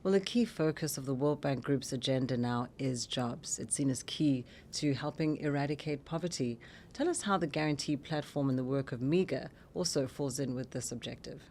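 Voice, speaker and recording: A woman hosting a podcast, asking interview questions in a conversational way, on a recording with rumbling noise. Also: normal speed, a little mellow. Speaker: podcast host.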